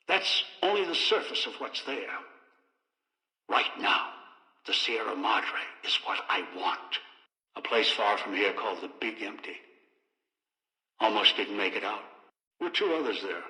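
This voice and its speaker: Sharp, echoing, scheming old man. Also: medium pitch. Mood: brooding, covetous. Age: older. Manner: menacing, deliberate, cryptic, clipped phrases. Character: bitter, scheming old man obsessed with pre-war technology. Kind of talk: video game character dialogue. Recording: echoey, long reverb.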